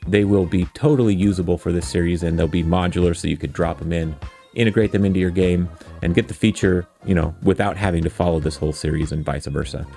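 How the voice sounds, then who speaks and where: Low, gravelly man teaching casually. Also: steady tone, quick pace, dark tone, faint music behind. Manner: informal, thinking aloud, self-deprecating. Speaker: tutorial host. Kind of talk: casual screen-recorded coding tutorial.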